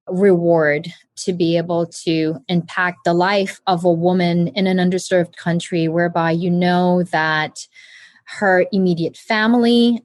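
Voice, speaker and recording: A woman doctor as an interview guest, speaking in a calm, measured, earnest way. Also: on the low side, no echo. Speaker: guest, oncologist surgeon. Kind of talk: conversational interview.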